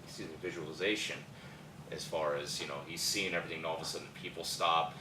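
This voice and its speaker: An American man chatting casually in a group, rambling and colloquial in an animated way. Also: rumbling low, quick pace. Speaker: actor at a table read. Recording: distant mic, echoey room, background noise.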